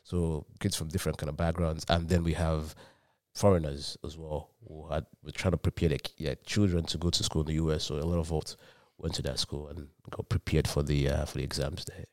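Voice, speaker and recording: Very deep-voiced young Nigerian man. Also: flat delivery, darkish tone, dry recording. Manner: halting, repeats words while searching for them. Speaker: podcast guest, student. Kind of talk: casual interview answers.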